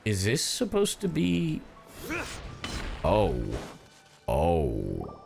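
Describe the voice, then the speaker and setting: A man's voice: lowish voice, huge pitch swings, drawn-out words, gravelly. A man livestreaming a game with casual, joking, sweary commentary, reacting as he plays. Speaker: streamer and professional voice actor. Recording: faint game music behind. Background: game music.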